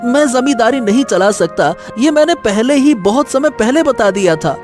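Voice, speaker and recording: A man's voice: extremely high pitch, lively intonation, moderate pace, soft background music. A man playing a sulky, resentful younger brother who feels unloved, in a moral story.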